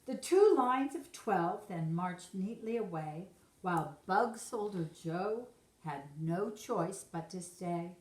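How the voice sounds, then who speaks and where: A woman reading a rhyming children's story aloud expressively, like a teacher to young pupils. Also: medium pitch. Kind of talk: expressive storybook narration in rhyme. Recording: roomy echo.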